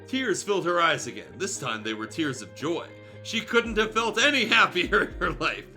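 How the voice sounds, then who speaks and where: A man's voice: on the high side, sing-song, normal speed, pushed and bright, faint music behind. A man reading fan fiction aloud as a streamer, in a theatrical, irreverent storytelling style with joking asides.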